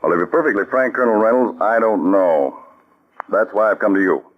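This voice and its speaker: A firm, commanding male spy chief in a vintage radio drama. He speaks earnestly with authority, in a very deep voice. The sound is dull and muffled like an old broadcast. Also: lively intonation, a little fast. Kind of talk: 1940s radio acting.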